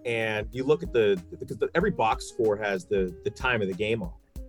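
A man's voice: lowish voice, brisk, soft background music. A middle-aged American man, a sports broadcaster, chatting casually and explaining things at length as a podcast guest.

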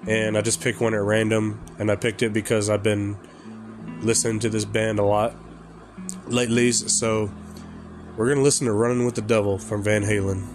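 A man hosting a casual podcast, stuffy and congested and exhausted from lack of sleep, talking in a rambling, halting way with fillers. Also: lowish voice, flat delivery. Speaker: podcast host. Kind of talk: casual song reaction chat. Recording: music playing loudly behind him. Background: rock music.

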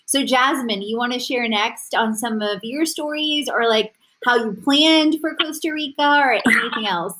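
A woman's voice: on the high side, normal speed, few pauses, background noise. A woman hosting a casual group conversation in an affirming, encouraging manner. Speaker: host.

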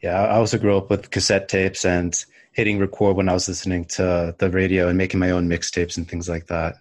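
A man hosting a podcast interview, reading a steady intro and then asking casual, friendly questions. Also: low-pitched, fairly quick. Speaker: podcast host.

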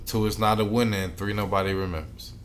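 A man's voice: fairly low pitch, steady tone, fast talker, a little hiss or hum. A man with an American accent co-hosting a podcast in casual, profane, slangy banter. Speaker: podcast co-host.

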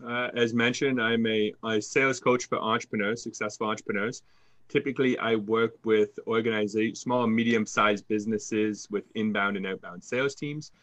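Canadian man in his 30s, lowish register, confident interview guest. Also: fairly bright tone. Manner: confident, fluent storytelling with occasional restarts. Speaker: sales coach guest. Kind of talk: interview answers.

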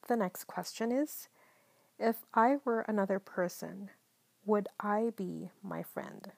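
A woman from the Philippines hosting a solo podcast, talking in a calm, mellow way, partly whispered, with many ums. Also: mid-range voice, slow, gravelly, no echo. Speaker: podcast host. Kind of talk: soft-spoken solo podcast monologue.

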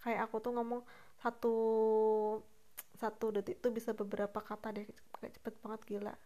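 A young woman talks alone into her podcast mic in a loose, unplanned way, jumping between topics and restarting her sentences as she goes. Her voice sits a little higher than most women's, and she speaks quickly with a chatty, self-correcting flow. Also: flat delivery, darkish tone. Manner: fast-talking. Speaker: podcast host. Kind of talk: spontaneous solo podcast monologue.

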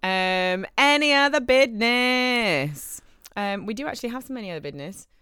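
Lively playful woman podcast host. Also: fairly high pitch, moderate pace, smooth, no echo, clean studio sound. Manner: playful, giggly, jokey asides while reading. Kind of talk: casual chat, reading a listener email.

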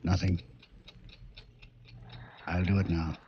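Casting: a subdued, resigned caller in a radio thriller, deep and unhurried, faint noise. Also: male voice, flat delivery, dull audio. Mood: grimly resigned. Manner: subdued, halting. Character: grimly resigned man on the telephone. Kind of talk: 1940s radio acting.

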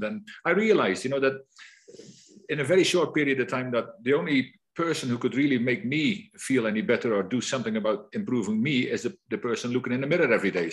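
A middle-aged man with a Dutch accent answers interview questions at an ordinary, middling pitch. He talks in a reflective way, often restarting his phrases, and he sounds as if he is on a remote call. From Netherlands. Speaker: guest. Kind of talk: conversational interview.

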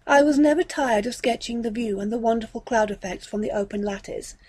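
A woman reading a classic story aloud as an audiobook, in a measured, literary manner. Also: on the high side, a little mellow, breathy edge. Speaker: audiobook narrator. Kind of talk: scripted narration of a classic ghost story.

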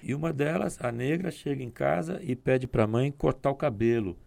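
A man speaking as a guest on a discussion program, explaining in a measured way with frequent restarts. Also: medium pitch, vocal fry, darkish tone, no echo.

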